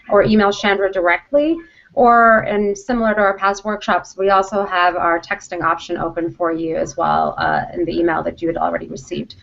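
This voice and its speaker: A woman's voice: mid-range voice, creaky, a bit bright. A woman giving an instructional presentation to students, explaining things in an emphatic, directive way. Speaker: college program vice president, presenter. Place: conference call. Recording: dull conference call audio.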